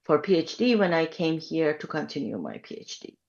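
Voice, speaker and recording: A woman in her fifties, from Iran, talks as a podcast guest in a deep, low voice. She is reflective and measured, often pausing with ums as she gathers her thoughts. The recording sounds slightly dull and lo-fi. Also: sing-song, vocal fry, a little mellow. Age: 50s. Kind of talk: conversational interview.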